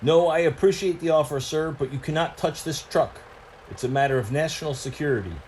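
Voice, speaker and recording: A man's voice: on the high side, brisk, darkish tone, a little hiss or hum, pitched above the performer's own voice, natural voice. A man voicing a formal, secretive male hauler truck who speaks in a clipped, stern way.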